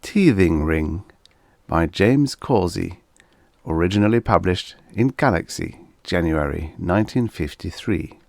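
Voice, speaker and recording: A man's voice: sits low, animated, a little slow, vocal fry, a little mellow, dry recording, clean studio sound. A man reading a scripted story as an audiobook narrator.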